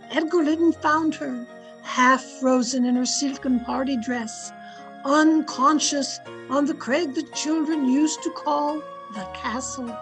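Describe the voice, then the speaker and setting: A woman playing a devoted servant who tells the story in a radio drama, measured and dutiful. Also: sits high, rough recording. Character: Ellen, devoted old female servant telling a story.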